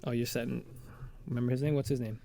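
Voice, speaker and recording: A man's voice: medium pitch, fairly even intonation, quick pace, creaky, dark tone, no room sound. A man co-hosting a podcast in casual banter, rambling and often breaking off his sentences. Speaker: podcast co-host.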